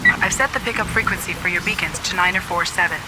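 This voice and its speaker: A woman's voice: on the low side, fast, lightly acted, poor audio. A woman playing a focused, loyal intelligence operative in a video game cutscene, giving precise, professional briefings. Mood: businesslike. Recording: game music behind the voice.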